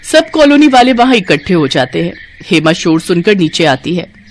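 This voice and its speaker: A woman's voice: lowish voice, very animated, moderate pace, slight background noise. Female narrator for scripted bedtime moral stories aimed at children. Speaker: story narrator.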